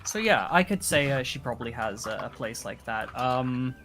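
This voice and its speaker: A man with a bright, keen-edged voice talks casually as a player in a tabletop game. He feels his way through ideas haltingly, over faint music. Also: medium pitch, animated. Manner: hesitant, pieces ideas together aloud. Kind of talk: casual chat.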